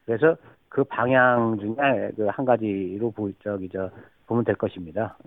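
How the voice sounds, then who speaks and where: A man's voice: fairly low pitch, fairly even intonation, measured pace, no room sound. A man, an expert, giving an interview comment in a casual, hesitant way with fillers. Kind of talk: interview clip. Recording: tinny phone line.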